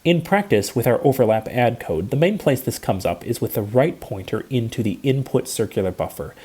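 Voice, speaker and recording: A man giving a scripted technical lecture, explaining in a measured and precise way. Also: medium pitch, animated, darkish tone, breathy edge.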